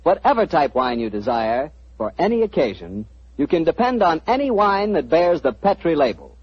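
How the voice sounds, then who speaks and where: Breezy radio announcer, 1940s. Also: male voice, fairly high pitch, husky, no echo. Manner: breezy, salesy, American. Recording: dull old radio broadcast with faint music.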